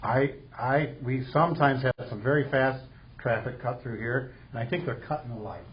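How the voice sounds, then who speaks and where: A man's voice: medium pitch, normal speed. A man presenting a proposal at a city council meeting, rambling with many restarts and fillers. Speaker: bank representative presenting a proposal. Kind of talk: public comment at a council meeting. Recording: echoey, dull council chamber audio with hum.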